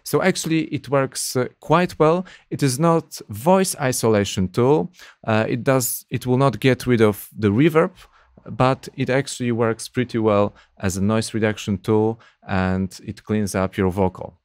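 A man's voice: medium pitch, unhurried, a little mellow, no echo. A man in his thirties casually reviewing an audio plugin from his small home studio, hesitant, with many fillers. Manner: hesitant, many uh fillers, slightly non-native phrasing. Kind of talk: casual tech review talk. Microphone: studio condenser mic on arm.

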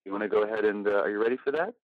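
A man's voice: on the low side, racing, no echo. A man casually moderating an online group meeting in an informal, loosely phrased way. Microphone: online voice call. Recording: dull lo-fi online call audio.

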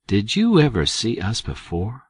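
A man voicing a cocky, scheming teenage boy who asks sharp, mock-innocent questions. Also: fairly low pitch, wildly swooping pitch, unhurried, husky, dry recording, exaggerated voice. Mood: stern.

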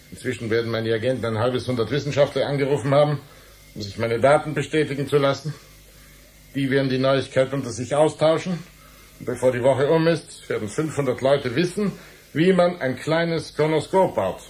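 An actor playing a stubborn, defensive journalist in a radio play, explaining himself in a deliberate way. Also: male voice, medium pitch, natural voice. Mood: fatalistic. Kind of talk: German radio play acting.

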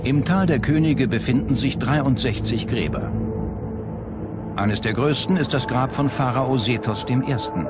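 A man's voice: mid-range voice, unhurried. A man reading scripted narration as a documentary narrator. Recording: loud music behind the voice. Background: music bed.

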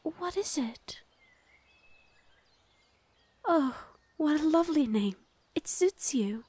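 A woman voicing a gentle, protective fae lady of the forest in an ASMR roleplay, soothing and often whispering. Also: sits high, expressive, slow. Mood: tender, reassuring.

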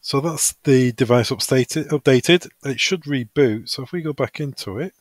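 A man working as a technical trainer, talking through a software tutorial step by step in an informal, hesitant way, as if thinking aloud. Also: mid-range voice, moderate pace, dry recording, studio quality.

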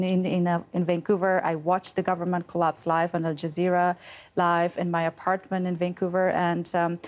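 A middle-aged woman from Afghanistan speaking earnestly in a TV studio interview on a lapel mic. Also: on the low side. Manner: earnest, measured, with occasional restarts. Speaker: founder of a women's education group, former Olympian. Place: TV news studio.

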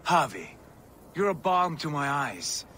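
Game role: compassionate warrior god, forceful, slightly high male voice, formal and earnest, faint ambience behind. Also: very animated, takes it slowly, slight background noise, heavily acted. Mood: grave, sympathetic. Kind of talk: video game cutscene acting.

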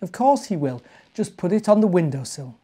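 A British man voicing a gentle, reassuring mother in a children's storybook. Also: sits high, sing-song, moderate pace, slightly rough, exaggerated voice. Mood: encouraging.

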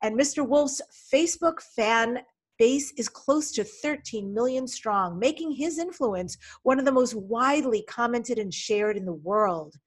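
A woman's voice: on the high side, animated, measured pace, slightly rough. Middle-aged woman, podcast host, upbeat and eager, desk mic on a video call, home room. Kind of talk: podcast interview. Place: home room with folding screen. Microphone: studio desk mic in front, video call.

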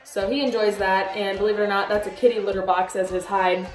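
A young woman in her twenties chatting casually in a vlog, gushing about her pet snakes and breaking into high baby talk. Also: little pitch movement, fast talker, distant mic. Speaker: reptile keeper vlogger. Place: small bedroom reptile room indoors. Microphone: camera a little way off. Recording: roomy echo, voice a bit off-mic, faint music.

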